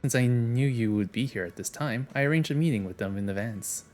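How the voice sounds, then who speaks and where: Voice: mid-range voice, brisk, darkish tone, faint music behind. A game streamer chatting casually and reading dialogue aloud on a livestream, sometimes stumbling and self-correcting.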